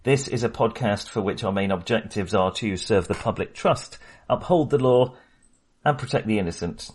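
Low-pitched man, wry podcast host. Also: normal speed, a little mellow, husky. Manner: wry, ironic humour. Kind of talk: casual film discussion.

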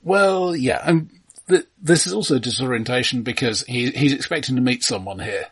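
A man's voice: medium pitch, moderate pace, breathy edge. A man co-hosting a film podcast, casually explaining things in an analytical way.

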